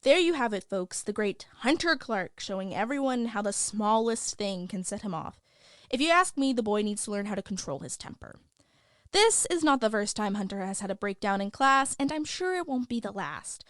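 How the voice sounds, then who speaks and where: A woman's voice: mid-range voice, expressive, normal speed, no echo, studio quality, lightly acted. A woman playing a gossipy, opinionated young school news reporter, chatty and sardonic, delivering scripted podcast news. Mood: sardonic, exasperated. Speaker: host of a school news show.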